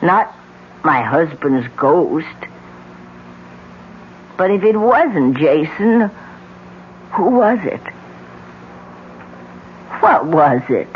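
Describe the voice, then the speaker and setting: An actress playing a distraught wife in a radio ghost mystery, speaking in a halting, dramatic way. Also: female voice, low-pitched, sing-song, full character voice. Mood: shaken, bewildered. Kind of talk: 1970s radio drama acting. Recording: muffled, noisy old radio broadcast.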